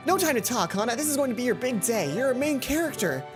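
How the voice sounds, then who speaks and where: A woman voicing an excitable, pushy teenage girl from an anime dating game, gushing and teasing. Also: on the high side, fast, music in the background, voice pushed up. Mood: excited, pushy.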